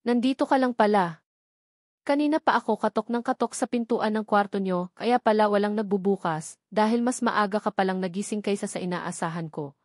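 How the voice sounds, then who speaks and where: A woman's voice: medium pitch, brisk, no echo, close to an everyday voice. A confident male boss, attentive and affectionate, read by a woman narrator. He questions in a teasing, solicitous way in an audiobook romance, with a very dry sound. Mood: mildly teasing.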